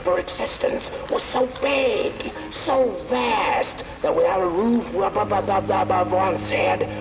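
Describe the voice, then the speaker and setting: An actor voicing a tiny, timid folk creature who narrates a wistful storybook tale in a fantasy game. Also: male voice, sits high, unhurried, creaky, low-quality recording. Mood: wistful, wondering. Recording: loud music behind the voice.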